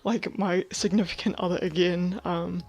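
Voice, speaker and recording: A woman in her twenties from Thailand, talking candidly in a personal video diary, rambling with lots of fillers and soft laughs. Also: on the low side, steady tone, moderate pace, husky. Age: 20s. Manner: drops to a whisper about housemates. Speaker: vlogger.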